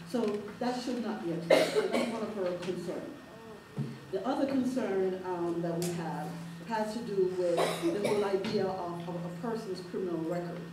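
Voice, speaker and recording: Jamaican woman talking at a town hall, kinda low voice, echoey room, mic far off. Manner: earnest, halting, many fillers and restarts. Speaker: civil rights advocate speaking at a town hall. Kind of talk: public speech at a town hall. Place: public meeting hall. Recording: roomy hall recorded from afar, noisy.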